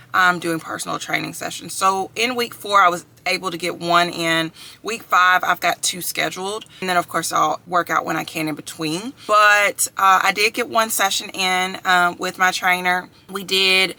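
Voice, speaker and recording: Bright, upbeat woman in her thirties. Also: lowish voice, creaky. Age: 30s. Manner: upbeat, chatty, addresses viewers as y'all and guys. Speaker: vlogger. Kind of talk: casual chat to camera.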